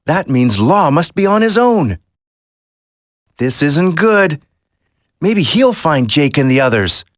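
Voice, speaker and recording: A man's voice: fairly high pitch, very animated, slow, no echo, clean studio sound. A man voicing an earnest special forces soldier in a video game, dramatic and urgent. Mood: grim, vengeful. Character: earnest male special forces soldier, determined, worried for comrades.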